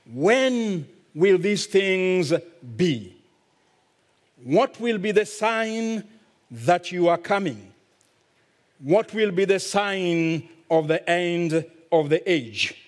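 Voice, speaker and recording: A man with a Kenyan accent, a visiting pastor preaching a sermon slowly and deliberately, drawing out words for emphasis. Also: high voice, lively intonation.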